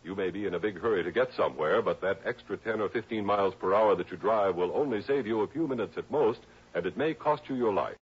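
A man's voice: on the low side, quick pace. An American man reading scripted announcements in a 1960s radio broadcast style. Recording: muffled vintage radio recording.

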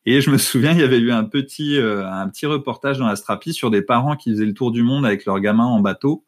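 A man in his thirties, a guest in an interview, talking about his family with many pauses and fillers. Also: mid-range voice. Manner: hesitant, many fillers and restarts. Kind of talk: conversational interview.

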